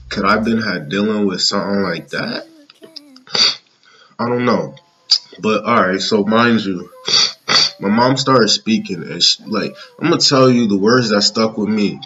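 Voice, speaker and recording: Male, 30, casual personal vlog, halting and rambling delivery, lowish voice, dull lo-fi sound. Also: fairly bright tone. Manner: rambling, halting, often checking in with "you know what I'm saying". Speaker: vlogger. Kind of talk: casual personal monologue to viewers.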